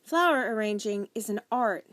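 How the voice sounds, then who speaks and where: A woman's voice: medium pitch, animated, normal speed, fairly bright tone, no room sound, pitched above the performer's own voice, lightly acted. A woman voicing a patient, indulgent mother in a children's audiobook, composed and polite.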